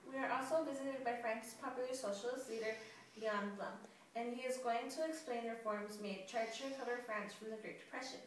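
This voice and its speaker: A woman's voice: a little high, steady tone, a little fast. A woman interviewer hosting a scripted mock news interview. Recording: very echoey, noisy, distant mic.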